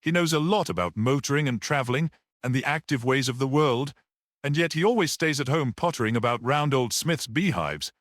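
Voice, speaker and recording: A man voicing a reflective, tactful gentleman who muses aloud in an audiobook story. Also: medium pitch, a little fast, no echo, clean studio sound, pitched above the performer's own voice, natural voice. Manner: tactful, musing. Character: smooth, reflective, well-dressed bearded young gentleman.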